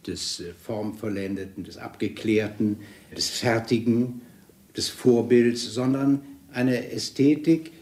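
A man explaining his ideas as an author in an interview, deliberate and searching, piling up phrases. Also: mid-range voice, steady tone, a little mellow. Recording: roomy archive interview recording.

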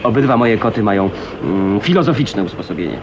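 An actor playing an easygoing, scruffy bachelor bridegroom in a radio drama, jovial and teasing, with hesitant little fillers. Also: male voice, on the low side, animated, fairly quick, close to an everyday voice. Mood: playful. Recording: noisy 1980s radio recording.

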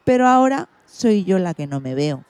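A woman's voice: lowish voice, very animated, moderate pace, darkish tone, clean tone. A woman playing an earnest, dreamy schoolgirl in a radio play of a children's storybook. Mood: reflective.

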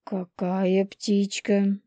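A woman's voice: mid-range voice, completely flat delivery, drawn-out words, warm tone, no echo. A woman voicing a cold, contemptuous male werewolf clan leader in audiobook dialogue, curt and indifferent. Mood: cold menace.

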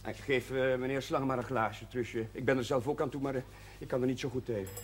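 An actor playing a fussy, flustered village chaplain, agitated and repeating himself, in a television period drama. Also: male voice, mid-range voice, fairly even intonation, fast talker, natural voice. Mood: nervous, desperate. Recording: noisy old television drama soundtrack.

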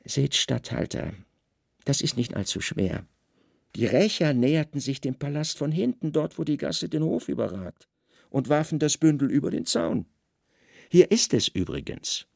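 A man playing a grave, calculating Roman secret service chief who speaks calmly, deliberately and deadpan in a literary radio drama. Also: fairly high pitch, creaky, dry recording, studio quality, voice pushed up, full character voice.

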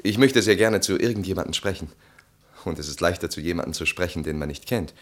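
An actor in a German radio play playing an awkward, polite husband hosting a party, speaking hesitantly and evasively. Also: male voice, deep voice, steady tone, fast talker, lightly acted. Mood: apologetic, troubled.

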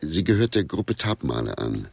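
An actor playing a wry writer in a radio play, gossiping in a pedantic, deadpan way and relishing his lists. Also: rumbling low, moderate pace, gravelly, slightly rough, no echo, full character voice. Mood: wry, musing. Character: wry male writer with writer's scruples, gossiping about suspects. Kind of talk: German radio play dialogue.

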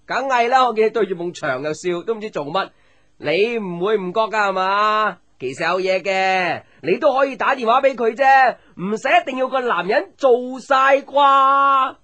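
A man's voice: high-pitched, normal speed. An actor with a Hong Kong Cantonese accent playing a playful, teasing young man, a caring friend, in a light conversational radio drama. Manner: teasing, joking, animated with exclamations. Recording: music under the voice.

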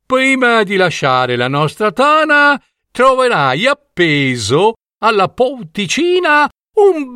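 A man voicing older female storybook figures in a shrill, emphatic manner. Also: sharp tone, no room sound, studio quality, full character voice. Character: scolding fox mother and a furious stepmother.

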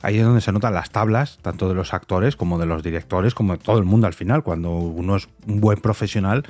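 A man's voice: low-pitched, fast, a little mellow, faint music behind. A man with a Castilian Spanish accent hosting a conversational interview, informal and reflective, repeating phrases for emphasis. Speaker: podcast host, interviewer.

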